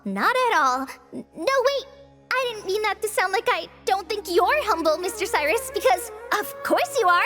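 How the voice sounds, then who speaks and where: A woman's voice: very high-pitched, sing-song, normal speed. A woman playing a modest, earnest teenage girl ranger with a light, youthful, hesitant and polite delivery in an anime game dub. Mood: flustered, modest. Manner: hesitant, self-effacing, polite. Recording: music playing behind the voice.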